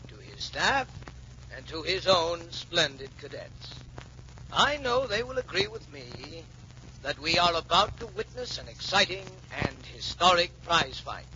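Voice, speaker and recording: Dignified major, higher-set voice, muffled. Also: male voice, bright, forceful tone, heavily acted. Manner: formal, courteous, avuncular. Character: genial, dignified male military academy head, a major. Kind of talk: 1940s radio acting. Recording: muffled old radio recording, faint noise.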